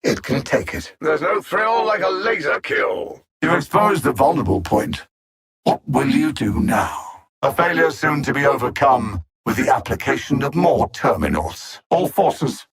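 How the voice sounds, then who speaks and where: Alien machine mastermind, British accent, game villain, husky, very animated pitch, pompous, sardonic, coldly mocking. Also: male voice, exaggerated voice. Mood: menacing, then commanding. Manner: pompous, sardonic, deliberate diction. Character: haughty alien supervillain machine intellect, coldly superior, mocking. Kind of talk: theatrical video game villain voice lines.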